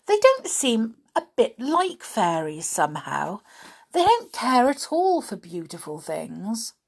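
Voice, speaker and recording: A woman voicing a stern, regal female fairy queen in a storybook reading, speaking firmly and slowly. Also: a little high, huge pitch swings, vocal fry, breathy edge, exaggerated voice. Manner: firm, measured and scolding.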